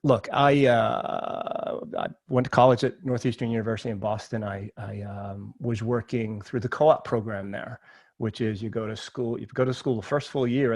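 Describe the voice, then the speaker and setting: A middle-aged man from Maine, a podcast guest telling personal stories casually and informally, often halting and repeating words. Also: medium pitch, gravelly, darkish tone, breathy edge, no echo. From Maine, United States.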